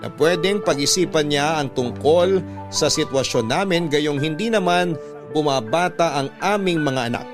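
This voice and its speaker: A man's fairly high voice with a Filipino accent, set over prominent music. He delivers dramatic scripted narration as a radio storyteller reading a personal letter. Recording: music clearly behind voice.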